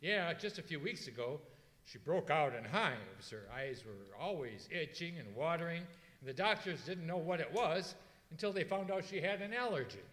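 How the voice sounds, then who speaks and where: A man's voice: on the high side, sing-song, moderate pace, a bit bright. A man playing a jolly, gossipy announcer sidekick in an old-time radio comedy, teasing and drawing his words out. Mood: earnest. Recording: echoey hall, live stage performance.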